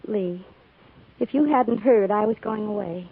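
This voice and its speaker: An American actress playing a tender, resolute frontier woman with wistful longing, measured and emotional, in 1950s radio drama style. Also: medium pitch, slightly rough. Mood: sad, resolute. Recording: tinny, hissy 1950s radio recording.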